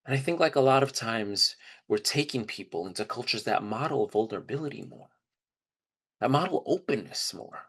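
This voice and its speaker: A man's voice: mid-range voice, animated, moderate pace, fairly bright tone, husky. Candid man telling a personal story. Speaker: trip leader for student service trips.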